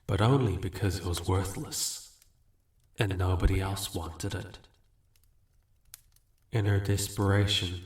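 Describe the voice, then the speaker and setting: A man playing a smug, manipulative archdemon in a fantasy audio roleplay, talking down to the listener in a deliberate, smooth-talking way. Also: low-pitched. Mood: storytelling, condescending. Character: smug, weary, manipulative male archdemon bargaining for contracts. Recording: roomy, echoing voice recording.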